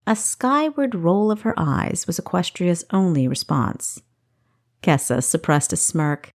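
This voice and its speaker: Slow, expressive woman storyteller. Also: on the low side. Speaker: audiobook narrator. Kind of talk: expressive scripted fiction narration.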